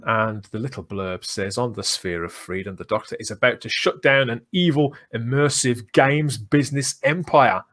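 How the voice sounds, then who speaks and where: A man's voice: mid-range voice, normal speed, few pauses, fairly bright tone. A man hosting a casual fan podcast, talking in a conversational way, often rephrasing himself and checking for agreement. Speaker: podcast host.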